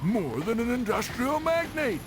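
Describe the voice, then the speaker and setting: An actor playing a blustery, folksy, wisecracking mission briefer in theatrical, grandiose train game cutscenes. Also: male voice, very high voice, sing-song, a little slow, very noisy, exaggerated voice. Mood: triumphant.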